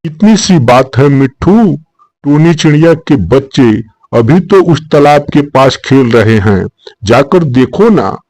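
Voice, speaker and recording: A man voicing a calm, matter-of-fact forest character in a cartoon animal story. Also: mid-range voice, a little slow, no room sound, natural voice, low-quality recording. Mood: calm, knowing. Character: unhurried cartoon forest figure: fox queen or sage.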